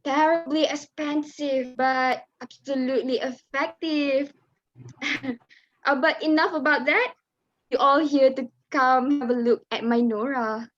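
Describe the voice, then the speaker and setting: A woman's voice: high-pitched, fairly even intonation, fairly bright tone. A woman playing a domineering, vain, wealthy mother in a dramatic reading, with a theatrical, condescending manner. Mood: smugly gracious. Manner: theatrical, condescending, slow and emphatic. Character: domineering, vain Malay mother, a wealthy Datin matchmaking her daughter. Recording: dull lo-fi sound.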